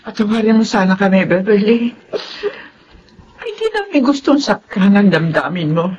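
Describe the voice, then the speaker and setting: A woman's voice: medium pitch, animated, moderate pace, a little mellow, dull audio, slight background noise, natural voice. An actress playing a worried, regretful middle-aged mother in a Filipino radio drama, with a Filipino accent.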